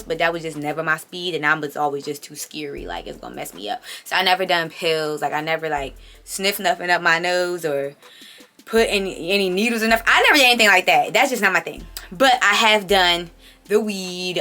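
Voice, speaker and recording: Bright-voiced young woman, slightly congested. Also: on the low side. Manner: chatty, emphatic, slangy asides. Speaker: vlogger hosting own channel. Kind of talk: casual confessional chat to camera.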